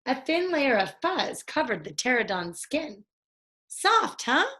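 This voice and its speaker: A woman's voice: a little high, big pitch swings, measured pace, fairly bright tone. A woman reading a children's storybook aloud expressively for young listeners. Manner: addresses young listeners, acts out dialogue. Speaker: story reader.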